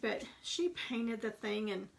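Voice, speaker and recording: A woman's voice: medium pitch, steady tone, measured pace, vocal fry, a little hiss or hum. A woman with a Texas accent chatting through a live painting tutorial, rambling into homey asides as she paints. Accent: Texan. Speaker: craft painting instructor. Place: home craft workspace.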